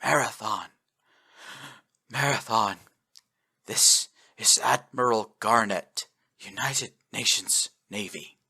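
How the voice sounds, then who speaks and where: A man voicing a dying admiral, speaking in a soft, raspy, plodding voice that stalls and breaks off between words. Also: lowish voice, full character voice. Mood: resigned. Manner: slow, halting, quiet, breaking off mid-sentence. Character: aging male admiral, dying, fading over comms.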